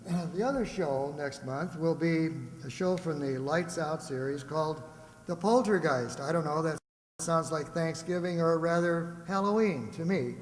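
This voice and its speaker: A man's voice: on the high side, moderate pace. Folksy male announcer in an echoey hall. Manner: rambling, folksy asides. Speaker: announcer of a community radio-play group. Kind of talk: live stage announcing, folksy and conversational. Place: reverberant hall before a live audience. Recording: echoey hall, clearly noisy background.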